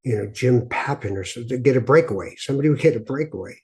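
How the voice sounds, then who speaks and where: A man's voice: mid-range voice, lively intonation, fast talker, a little mellow, slightly rough. A middle-aged man born in Morocco, hosting a podcast and telling reflective stories with frequent restarts. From Morocco (Tangier). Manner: reflective storyteller, many restarts. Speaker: podcast host. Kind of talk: casual conversation.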